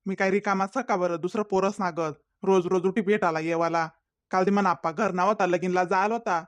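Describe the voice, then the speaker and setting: A man's voice: sits high, steady tone, brisk, no echo, close to an everyday voice. A man voicing a defensive young village boyfriend in a comedy cartoon, pleading and making excuses in a Khandeshi Ahirani accent. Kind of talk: comedy cartoon dialogue in dialect.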